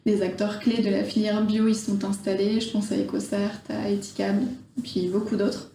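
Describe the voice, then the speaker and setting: A woman's voice: medium pitch, level tone, normal speed, vocal fry, warm tone. A woman from northern France working as an interviewer, putting prepared questions to her guest. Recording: distant mic, echoey room, faint noise.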